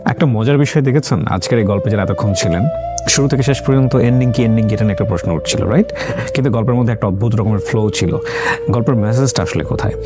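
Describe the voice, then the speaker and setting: A man hosting a life-story interview, reflecting at length on the guest's story and drawing moral lessons, mixing English words into his talk. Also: on the low side. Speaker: host. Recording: background music under speech.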